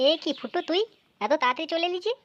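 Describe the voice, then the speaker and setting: A woman's voice: extremely high pitch, expressive, racing, a bit bright. A woman playing a fussing, inquisitive village mother and housewife in a comic cartoon. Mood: suspicious. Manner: chatty, inquisitive, exclaiming. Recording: dull lo-fi audio with some noise.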